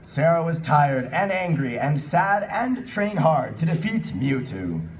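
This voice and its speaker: A sarcastic male panel host reads bad fan fiction aloud with mock-serious asides. His voice is fairly high and lively, picked up at a distance in a noisy convention room. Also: measured pace.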